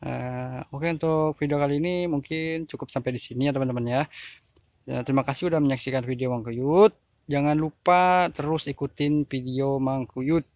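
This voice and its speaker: A man's voice: mid-range voice, fairly even intonation, normal speed, a little mellow, no room sound. Young man vlogging casually outdoors. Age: young adult. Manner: informal, often addressing viewers as friends. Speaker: fishing vlogger. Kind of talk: casual chat to camera. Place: outdoors by a pond and fields.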